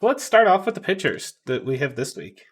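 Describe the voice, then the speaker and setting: A man's voice: on the high side, wildly swooping pitch, normal speed, slightly rough. A man hosting a casual online art critique stream, joking and laughing often as he reads submissions. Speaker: stream host.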